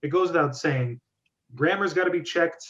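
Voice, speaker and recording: A man hosting a group discussion, giving feedback in a wordy, measured, organized way. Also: a little high, fairly bright tone, lo-fi sound. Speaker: host of a script reading club.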